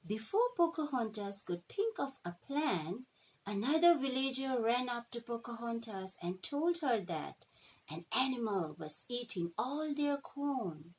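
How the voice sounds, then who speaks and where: Animated, unhurried woman reading to children. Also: fairly high pitch, husky, low-quality recording. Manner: checks in with listeners using short questions. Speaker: English teacher reading aloud to children. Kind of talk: animated storybook read-aloud.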